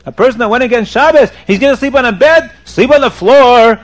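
A man's voice: fairly high pitch, expressive, normal speed, creaky. A man voicing a stern, harsh rabbi in a religious tale, scolding emphatically and demanding penance. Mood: indignant, commanding. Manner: scolding, emphatic, relentless rhetorical questions. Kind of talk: oral religious storytelling, character voice.

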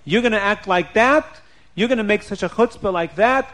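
A man's voice: fairly high pitch, very animated, fast talker. A man voicing an outraged wealthy wife who scolds her husband in indignant rhetorical questions, in an oral story.

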